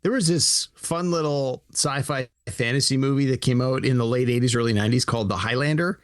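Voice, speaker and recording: A man co-hosting a podcast in casual chat, animated, acting out imagined dialogue as he talks. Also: medium pitch, fairly quick, dry recording, studio quality. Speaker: podcast co-host.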